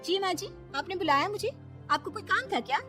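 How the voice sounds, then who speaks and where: A woman's voice: extremely high pitch, expressive, a little fast, sharp tone, heavily acted. A woman voicing an arrogant, sly young educated daughter-in-law in a Hindi family cartoon, haughty and mocking. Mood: coolly polite. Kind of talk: animated Hindi family story dialogue. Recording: music behind the voice. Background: background music.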